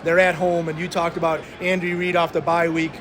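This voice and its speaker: A man's voice: a little high, little pitch movement, fairly quick, noisy background. A man co-hosting a sports betting podcast, talking through games casually with frequent hesitations.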